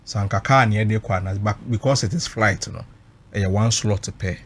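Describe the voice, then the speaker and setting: A man's voice: fairly low pitch, brisk, a little hiss or hum. A Ghanaian man recounting personal experience emotionally, mixing Twi and English, emphatic and dramatic, repeating phrases for weight.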